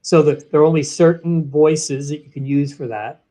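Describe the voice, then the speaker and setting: A fairly high-voiced man explains a product as an interview guest. He walks through each step one at a time, and his voice lifts with energy on key points. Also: steady tone, normal speed, warm tone. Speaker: guest, sales training software executive.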